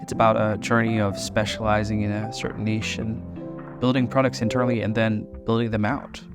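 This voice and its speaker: A man's voice: fairly low pitch, level tone, a little fast, music in the background. A man hosting a podcast interview, upbeat and enthusiastic as he introduces and questions his guest. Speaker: podcast host.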